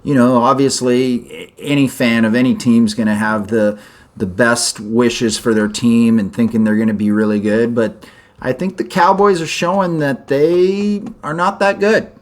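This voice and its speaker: A man's voice: medium pitch, normal speed. A middle-aged man co-hosting a casual sports talk show, rambling and teasing his co-host. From Croatian family, raised in Canada. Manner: joking, rambling, playful teasing.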